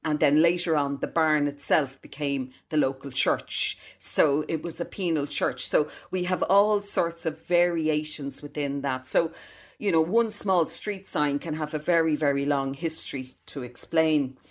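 A woman's voice: low voice, measured pace. A woman with a Dublin accent, a local historian explaining place names in a chatty radio interview, laughing now and then.

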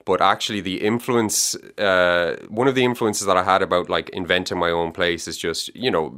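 A man's voice: deep voice, steady tone, normal speed, bright, forceful tone, smooth. An Irish man, a young adult author, answering interview questions thoughtfully, with many restarts and yeahs. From Ireland. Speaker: author guest.

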